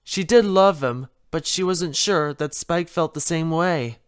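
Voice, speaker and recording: A narrator reading a story aloud in an expressive scripted style. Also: mid-range voice, unhurried, smooth. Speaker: story reader.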